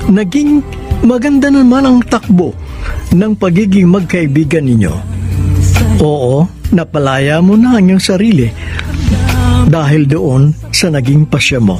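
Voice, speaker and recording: High-voiced Filipino male radio drama host. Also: animated, unhurried, pauses often. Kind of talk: sentimental scripted radio narration. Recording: music bed under the voice.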